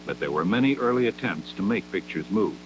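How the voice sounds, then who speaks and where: A man narrates a scripted 1960s educational film in a measured, didactic manner, with deliberate phrasing, on an old 16mm soundtrack. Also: low-pitched, expressive, background noise.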